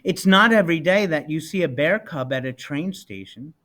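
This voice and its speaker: A man's voice: on the high side, expressive, normal speed, a bit bright. A man voicing an earnest, kind-hearted soldier veterinarian in a storybook. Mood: thoughtful.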